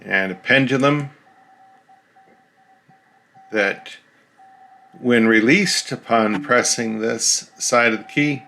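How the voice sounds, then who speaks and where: A man's voice: mid-range voice, slow pace, long pauses, sharp tone. A man casually narrating an unboxing of a radio key, explaining each part plainly as he shows it.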